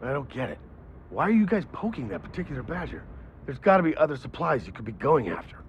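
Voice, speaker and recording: An actor playing a brash, sardonic middle-aged photojournalist hero in a video game, wry, blunt and insistent. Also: male voice, mid-range voice, very animated, fast talker, muffled recording, a little hiss or hum. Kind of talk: video game cutscene acting.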